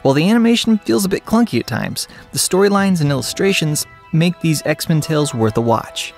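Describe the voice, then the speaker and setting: A man's voice: on the high side, expressive, brisk, creaky, a little mellow. A man hosting a video review, presenting his take as a reviewer. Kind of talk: entertainment review, part to camera, part scripted narration. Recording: music behind the voice. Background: background music.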